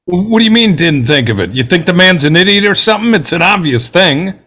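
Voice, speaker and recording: A man's voice: high voice, lively intonation, brisk, sharp tone, heavily acted. A man at a play table read, playing a belligerent, impatient juror who argues with scornful, street-tough talk. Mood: combative.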